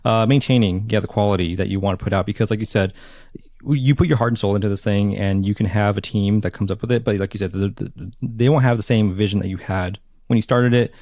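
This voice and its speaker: Low-voiced man hosting a podcast. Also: fairly even intonation, a little mellow, no echo, studio quality. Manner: halting, lots of fillers and restarts. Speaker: podcast host. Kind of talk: casual interview.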